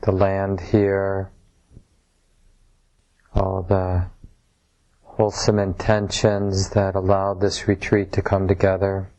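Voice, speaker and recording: Slow, low-voiced man guiding meditation. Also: completely flat delivery, dark tone, poor audio. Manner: measured, pausing between short phrases. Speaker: meditation teacher. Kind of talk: guided meditation, softly instructive. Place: room at a retreat center.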